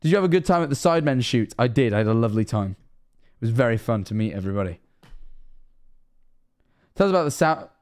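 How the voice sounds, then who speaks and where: A man's voice: medium pitch, lively intonation, quick pace, long pauses, darkish tone, smooth. A Scottish man of 21 streaming casually, rambling and self-mocking, swearing often.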